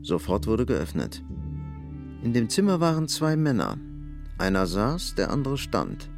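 A man narrating a literary audio drama in a dry, measured storytelling manner. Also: mid-range voice, huge pitch swings. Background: music.

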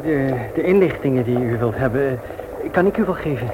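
A man's voice: medium pitch, lively intonation, brisk, lightly acted. An actor playing a shy, polite widower in his thirties, hesitant and formal, in a Dutch radio play. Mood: flustered, hesitant. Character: shy, awkward, polite widower on a blind date. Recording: noisy old radio recording.

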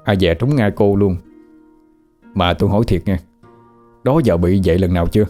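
A man's voice: low voice, moderate pace, dark tone, faint music behind, natural voice. A man with a Southern Vietnamese accent playing a talkative, fussy male ghost who grumbles and gets flustered. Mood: chatty. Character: nosy, chatty male ghost, young man.

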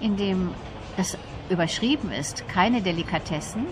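A woman's voice: on the low side, animated, moderate pace, noisy background. A woman guest in a radio interview, explaining things in a thoughtful, detailed way. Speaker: interview guest, literary event organiser.

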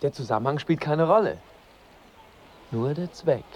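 A man's voice: on the high side, expressive, moderate pace, a little hiss or hum, close to an everyday voice. An actor in a radio drama playing a married woman's earnest, evasive lover, speaking formally and with understatement. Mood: earnest, pleading.

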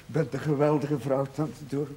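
Hesitant, gentle chaplain played by a man. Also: mid-range voice, fairly even intonation, slight background noise, lightly acted. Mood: flustered, concerned. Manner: halting, repeats his words, deferential. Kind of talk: naturalistic television drama dialogue.

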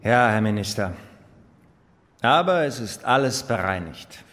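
Dutiful official, radio play. Lowish, very slow, deferential delivery in a reverberant hall. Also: male voice, lively intonation, fairly bright tone. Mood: cool, deferential. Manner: deferential, measured, matter-of-fact. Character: dutiful, cool official reporting to minister. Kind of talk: German radio drama acting. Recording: long hall reverb with faint noise.

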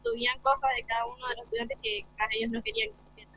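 A young woman responds to interview questions as a student. Her voice is a touch high. The live broadcast sound is slightly dull. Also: fairly even intonation, very fast. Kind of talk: short informal interview answers.

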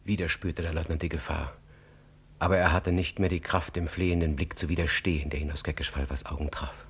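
A man playing the grave storyteller of a radio drama, narrating in a measured, expressive literary style. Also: extremely low pitch, breathy edge, full character voice. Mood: tense, grave.

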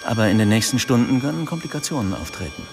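An actor playing a sober hospital surgeon in a radio drama, speaking in a formal, measured, clinical manner. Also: male voice, medium pitch, big pitch swings, over music.

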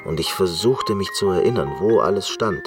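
Very deep male voice, eccentric brooding musician, reflective, intense, radio play, clear music underneath. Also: expressive, normal speed. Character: brooding eccentric male concert pianist, obsessive and reclusive.

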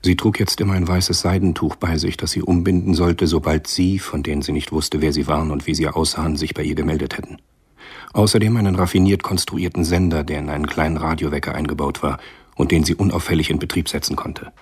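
An actor playing a sober storyteller, giving scripted narration for a spy thriller radio drama in a husky voice. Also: male voice, rumbling low, flat delivery, quick pace.

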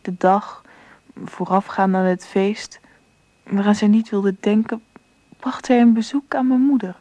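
A woman narrating a radio drama in a calm, measured way. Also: mid-range voice, no room sound, natural voice. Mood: reflective.

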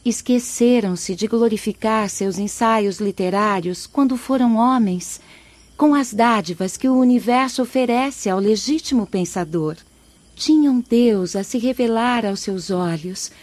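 A woman's voice: on the high side, darkish tone, dry recording. A woman playing a humble female poet spirit who earnestly admonishes her listeners in a radio drama.

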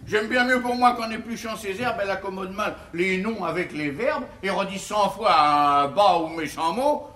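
An actor playing a henpecked, good-natured, food-loving bourgeois husband with theatrical bluster in a classical French verse comedy. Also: male voice, high-pitched, pushed and bright, heavily acted. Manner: theatrical, drawling, blustering then meek. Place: theatre stage. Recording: roomy 1950s theatre stage recording.

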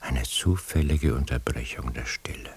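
An actor playing an obsessive, troubled surveillance listener who doubts himself, ruminating in a breathy, half-whispered radio drama monologue. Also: male voice, very deep voice, normal speed, slight background noise. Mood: uneasy searching. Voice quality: very husky, breathy.

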